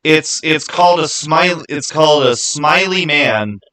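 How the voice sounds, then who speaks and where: A man's voice: on the high side, lively intonation, measured pace, sharp tone. A man hosting a livestream, chatting casually and answering viewers in a rambling, conversational way. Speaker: livestream host.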